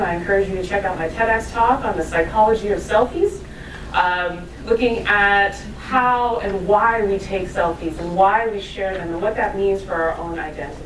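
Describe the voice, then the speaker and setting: A woman's voice: mid-range voice, distant mic, slight background noise. A woman in her thirties from New York giving a casual, self-deprecating talk to a live audience, with asides and laughs. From New York, USA. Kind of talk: conversational lecture to a live audience. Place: lecture room with audience. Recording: echoey room, voice from afar.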